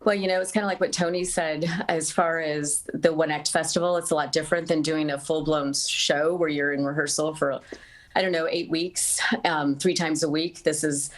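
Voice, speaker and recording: A woman's voice: low-pitched, fairly even intonation, moderate pace. A woman answering as an interview guest in a casual, conversational style. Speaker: interview guest, radio broadcaster and actor.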